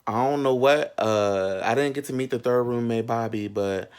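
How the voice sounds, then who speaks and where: A man's voice: mid-range voice, normal speed, a little mellow, smooth. A man in his thirties telling a personal story in a casual, sassy, slangy and candid way, with frequent asides. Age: 30s. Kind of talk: casual, animated storytime chat.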